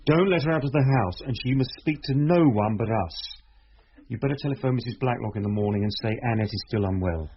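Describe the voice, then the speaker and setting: An actor playing a calm, methodical British detective inspector in a radio drama, speaking with measured, matter-of-fact authority. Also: male voice, medium pitch, slight background noise, close to an everyday voice. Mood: brisk, commanding. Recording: dull, lo-fi old radio recording.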